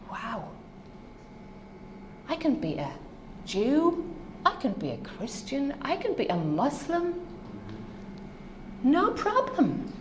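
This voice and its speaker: Woman from Norway, native Norwegian speaker, slow deliberate talk, somewhat high voice, echoey hall, faint ambience. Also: a little mellow, slight background noise. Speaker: speaker on children's ministry. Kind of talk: conference talk.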